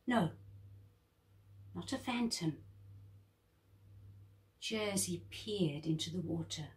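A woman reading a children's story aloud, expressive and lively, lifting her voice high for the children's lines. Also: slow.

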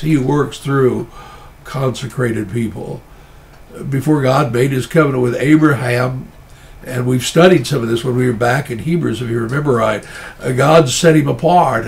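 A middle-aged man, a pastor with a stuffy nose, preaching an earnest, measured sermon and often restarting his phrases. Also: medium pitch, vocal fry.

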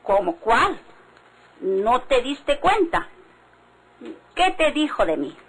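An actress playing a hard, suspicious Mexican country wife in a vintage radio drama, speaking emphatically and accusingly. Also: female voice, fairly high pitch, big pitch swings, takes it slowly, a bit bright, slight background noise, heavily acted, rough recording. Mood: indignant, suspicious.

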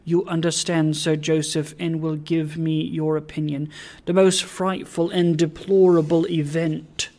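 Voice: mid-range voice, little pitch movement, measured pace, darkish tone, natural voice. A reader voicing an agitated, discreet male secretary in a storybook, urgent and confiding in manner. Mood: grave, secretive. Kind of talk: dramatised audiobook of a classic story.

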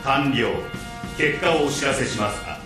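A man playing a polite, talkative combat machine intelligence in an audio drama, formal, measured and flatly literal. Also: medium pitch, reverberant, over music, lightly acted. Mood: flat, dutiful. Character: polite deadpan combat robot AI, talkative and insubordinate. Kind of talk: Japanese audio drama acting.

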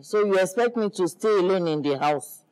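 A man's voice: high-pitched, lively intonation, fairly quick, a little mellow, poor audio. A man playing a nagging Nigerian mother who scolds her son for staying away and wants grandchildren. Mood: reproachful. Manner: scolding, guilt-tripping.

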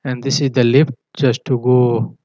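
Man, mid-pitched voice, halting tutorial delivery, frequent check-ins, dry close sound, muffled lo-fi audio. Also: little pitch movement, mellow, dry recording. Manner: halting, repeatedly checks with "okay". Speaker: engineering tutor. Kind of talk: tutorial walkthrough of technical drawings. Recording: dull, muffled lo-fi voice track.

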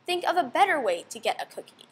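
A firm but kind storybook alligator mother, read by a young woman, speaks in a high, emphatic voice. Her pitch moves a lot as she instructs her child. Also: sing-song, quick pace. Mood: patient instructing.

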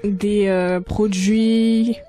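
A woman's voice: mid-range voice, steady tone, drawn-out words, mellow. A woman on a radio panel casually putting questions to a guest.

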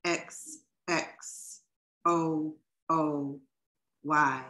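A middle-aged woman poet reading poems and introducing them casually on a video call, in a measured, deliberate way. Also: deep voice, fairly even intonation, sharp tone. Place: small furnished room at home, indoors. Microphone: video call computer microphone.

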